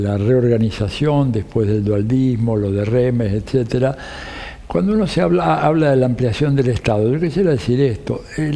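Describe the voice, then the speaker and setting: A gravelly, mellow-toned man in his seventies with an Argentine accent touched by Italian inflections; Italian was his first language. He answers interview questions slowly and reflectively, restarting phrases as he thinks aloud. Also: medium pitch. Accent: Argentine Spanish with slight Italian inflections. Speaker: guest political scientist.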